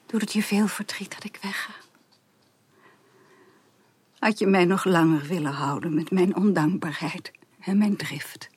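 A despairing young woman in a Dutch period radio play. Her voice is very husky and breathy, low for a woman's middle range. She speaks haltingly, anguished, often close to whispering. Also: a little mellow. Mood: despairing, confessional. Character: despairing, ungrateful, quick-tempered young woman in period drama.

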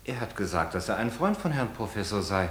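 A man's voice: lowish voice, sing-song, a little fast, fairly bright tone. A man acting a naive, deferential, hesitant household servant in a German radio play, heard from a distance. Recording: echoey, distant, faint noise.

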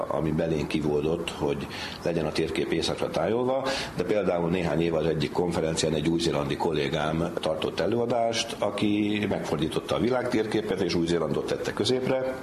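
A man explaining things informally as an expert lecturer in an interview. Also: sits low. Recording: echoey room, clearly noisy background.

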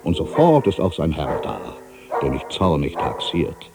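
Very deep, gravelly ageing writer. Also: male voice, sing-song, measured pace, full character voice. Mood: irritated, aggrieved. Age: older. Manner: theatrical, brooding, shifting from exclamation to hushed asides. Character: ageing writer, musing, irritable, suspicious, quietly superstitious. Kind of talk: literary radio play interior monologue.

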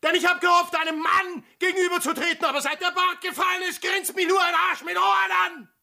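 An actor with an Austrian accent playing a bitter, sardonic sailor in a radio drama, scathing and theatrical. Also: male voice, extremely high pitch, steady tone, quick pace, creaky, sharp tone, shouting, full character voice. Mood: furious. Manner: scathing, sarcastic, swinging from hissed whispers to bellowing. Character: bitter, sardonic sailor confronting an old war criminal.

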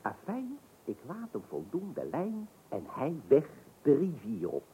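Husky, wildly animated boastful angler. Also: male voice, on the high side, measured pace, exaggerated voice. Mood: dramatic, impatient. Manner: dramatic storytelling, easily irritated by interruption. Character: boastful, excitable angler spinning tall fishing tales. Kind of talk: comic radio play acting. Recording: dull old radio recording.

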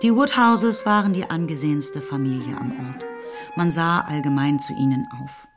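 A woman narrator reading literary prose for a radio play in a measured, expressive storytelling manner. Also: low voice. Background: faint music.

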